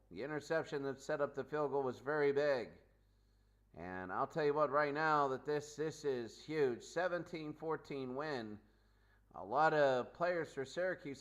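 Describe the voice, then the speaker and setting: A man hosting a post-game show, talking off the cuff through football results in a halting, emphatic way with repeated phrases. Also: fairly high pitch, a bit bright. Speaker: sports show host. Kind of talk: unscripted post-game recap commentary.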